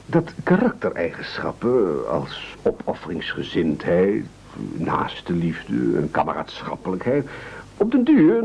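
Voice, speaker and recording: An actor in a radio play playing a pompous, scheming leader who speechifies in formal, flowery phrases. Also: male voice, on the high side, creaky, darkish tone, slightly rough, exaggerated voice. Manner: oratorical, grandiloquent, formal. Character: pompous, scheming, silver-tongued male leader of castaways. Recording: dull, lo-fi radio play recording.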